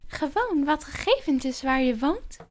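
A woman's voice: sits high, big pitch swings, a little slow, slight background noise. A woman voicing a creepy, sly scammer who lures a girl with a modelling offer and then turns menacing. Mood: coaxing. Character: creepy predatory scammer posing as a model agent.

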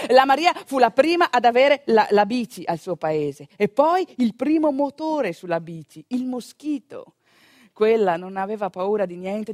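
A woman with a northeastern Italian accent telling a theatrical monologue in an expressive, conversational manner. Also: medium pitch, dry recording, studio quality. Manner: expressive, conversational, with rhetorical questions and asides. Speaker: stage actor and storyteller.

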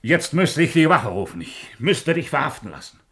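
Husky, troubled Roman guard commander. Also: male voice, fairly high pitch, sing-song, normal speed, fairly bright tone, full character voice. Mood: uneasy, suspicious. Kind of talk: 1950s German radio drama acting.

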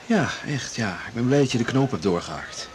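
A man's voice: mid-range voice, lively intonation, brisk, lightly acted. A man playing an eager, reassuring boyfriend in a radio drama, with an easygoing, informal way of talking. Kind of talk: 1980s Dutch radio drama acting. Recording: noisy 1980s radio recording.

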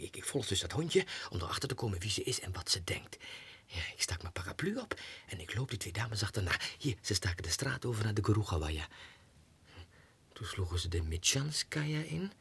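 An actor playing an unhinged, excitable low clerk who mutters haltingly to himself in a 1960s radio monologue. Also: male voice, creaky, husky, full character voice.

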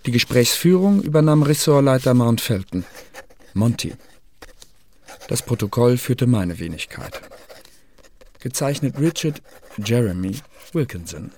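A dutiful male secret service minute-taker in a radio drama, with a medium-pitched voice. He reads his files drily and precisely, over slight noise and clicks. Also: animated, a little slow, pauses often, a little mellow. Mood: dry, dutiful. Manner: dry, precise, formal. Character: dutiful, self-effacing male clerk keeping secret service records. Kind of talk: radio play acting, reading a report.

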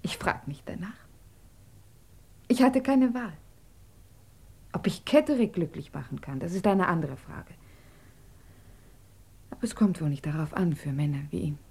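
An actress in a German radio drama playing an earnest, emotional émigré woman who speaks intimately, sometimes dropping to a hushed, breathy tone. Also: on the low side, animated, measured pace, pauses often, exaggerated voice. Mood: resigned.

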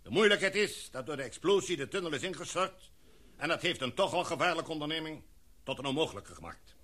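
A man's voice: on the high side, expressive, quick pace, pushed and bright. A man playing a commanding expedition chief. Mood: measured. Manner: formal, speech-like address to a meeting. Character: commanding male expedition leader, formal and decisive. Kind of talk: radio play acting.

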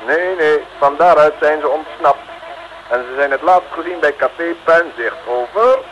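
An actor playing an officious headquarters dispatcher over two-way radio in an old Dutch radio serial, clipped and formal. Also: male voice, fairly high pitch, moderate pace, fairly bright tone. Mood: urgent, official. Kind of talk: 1950s-60s Dutch radio serial acting. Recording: muffled, faintly noisy radio transmission.

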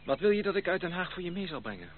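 Vasthoudende minnaar, levendige stem. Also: male voice, sits high, very animated, rapid-fire. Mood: earnest, affectionate. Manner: coaxing, earnest. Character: persistent, affectionate male cousin courting a stubborn woman. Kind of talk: period radio drama acting. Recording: muffled old radio play, faint hiss.

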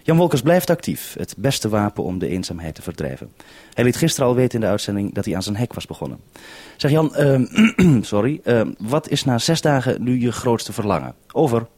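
Husky Dutch male radio host, dry sound. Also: medium pitch, big pitch swings, a little fast, darkish tone, no echo. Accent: Dutch from the Netherlands. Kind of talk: narrated radio report and interview.

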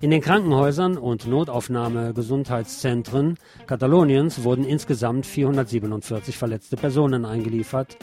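A man's voice: medium pitch, fairly quick, few pauses, darkish tone. Man presenting radio news over music. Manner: wry, sardonic asides. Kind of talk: scripted news commentary in a community radio show. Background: guitar music.

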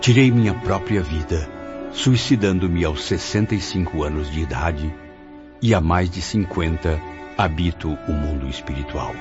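An actor playing the sorrowful spirit of a cultured 65-year-old writer in a radio drama, speaking with solemn, formal, literary diction. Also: male voice, a bass voice, muffled recording, exaggerated voice. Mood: grave, weary. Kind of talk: dramatic radio play monologue.

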